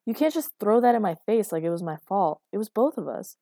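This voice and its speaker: A woman's voice: fairly low pitch, a little fast, dark tone, dry recording, clean studio sound, natural voice. A woman voicing a caring, put-upon father in his thirties, earnest and protesting, in audiobook dialogue. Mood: angry, firm.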